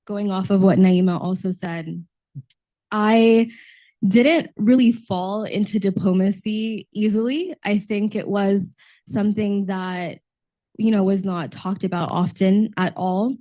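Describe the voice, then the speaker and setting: A woman in her twenties from Texas speaks on a panel as a newer diplomat. Her voice is of middle pitch and slightly worn from a cold. She tells her story earnestly and fills her pauses often. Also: steady tone, mellow. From Texas, United States. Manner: earnest, storytelling with frequent fillers. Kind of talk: panel discussion.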